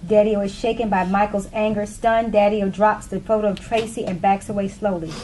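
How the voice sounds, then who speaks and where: A woman serves as narrator for a staged play reading. She reads out scripted stage directions in a medium-pitched voice. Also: little pitch movement, brisk, warm tone, slight background noise, rough recording.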